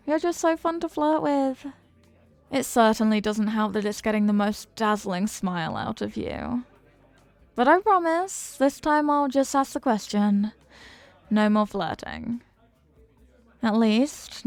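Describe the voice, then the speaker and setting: A woman's voice: a little high, no echo. A woman playing a flirty, confident, playful stranger in an intimate roleplay, with a teasing, coy, sweet-talking manner. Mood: giggly, flirty. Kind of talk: intimate roleplay audio acting.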